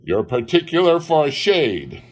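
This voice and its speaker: Brief: male reader voicing a sharp-tongued old skinflint for an audiobook, high and cutting. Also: wildly swooping pitch, measured pace, exaggerated voice. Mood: caustic. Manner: caustic, raised, nervy. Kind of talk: audiobook character voice.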